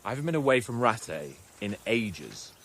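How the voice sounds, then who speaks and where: A man's voice: fairly low pitch, sing-song, moderate pace, a bit bright, slight background noise. A man playing a brash, carefree young nobleman in a video game, with a cocky, theatrical, exclamatory delivery. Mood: cocky, impatient.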